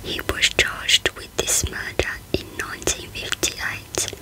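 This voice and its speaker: Slow whispering woman, ASMR narrator. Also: rough recording. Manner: hushed, unvoiced whispering. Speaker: host of a true crime channel.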